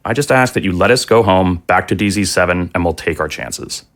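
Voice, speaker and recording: A man's voice: low voice, brisk, fairly bright tone. An actor playing an earnest, humble father in an audio drama, sincere, hesitant and pleading. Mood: earnest refusal. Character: earnest, anxious zombie father, humble and principled.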